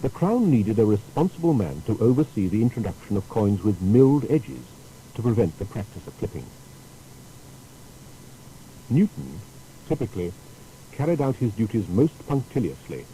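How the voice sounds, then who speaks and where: A man's voice: lowish voice, moderate pace, pauses often, low-quality recording. A man narrating a scripted historical biography in a formal, storytelling manner. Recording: steady faint background noise.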